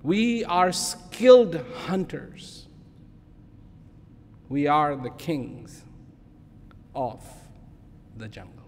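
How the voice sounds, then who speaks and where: A man playing a lazy, proud father lion, speaking slowly and grandly as the king of the jungle in a fable. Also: on the high side, big pitch swings, a little mellow, heavily acted. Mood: proud, boastful. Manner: slow, grand, boastful. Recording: echoing large hall with noise.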